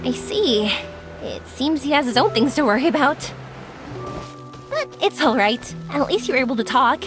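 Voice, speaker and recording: Bubbly, earnest young heroine for anime game: high voice, expressive and animated, sincere dreamer. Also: female voice, normal speed. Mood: warm, curious. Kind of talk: anime video game voice acting. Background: music.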